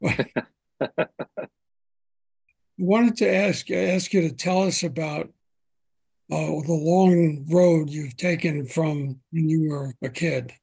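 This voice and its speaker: An older man with a fairly high, husky voice hosts an interview podcast from his home. His speech is gentle and unhurried, with small hesitations, and the recording is close and dry. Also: no echo. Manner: gentle, unhurried questioning with fillers. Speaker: podcast host.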